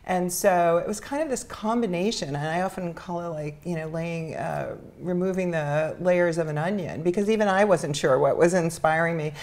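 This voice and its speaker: Middle-aged American woman, low creaky voice. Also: normal speed, few pauses, a little mellow. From New York City, United States. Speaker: interview guest, charity founder. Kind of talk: personal storytelling in an interview.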